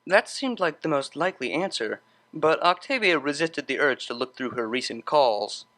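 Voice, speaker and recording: Bright, expressive storybook narrator. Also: medium pitch, normal speed. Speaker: audiobook narrator.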